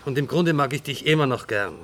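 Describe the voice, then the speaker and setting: An actor playing a middle-aged, officious police detective in a radio drama, speaking earnestly and with reproach. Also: male voice, medium pitch, expressive, a little fast, a bit bright, a little hiss or hum, natural voice. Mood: weary reproach. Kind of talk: naturalistic radio drama dialogue.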